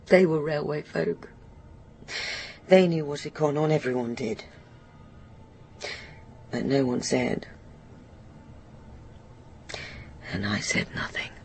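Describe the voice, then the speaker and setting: A woman playing a guilt-ridden, stubborn grown daughter in a radio drama, speaking in a halting, anguished, bitter confession that often drops to a murmur. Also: low-pitched, gravelly, slight background noise. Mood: quiet, desolate.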